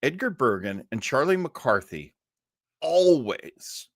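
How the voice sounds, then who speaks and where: Animated man hosting casually. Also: mid-range voice, slow, dry recording, clean studio sound. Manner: rambling, animated, jokey. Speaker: host of an old-time radio web show. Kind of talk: casual livestream chat reading viewer comments.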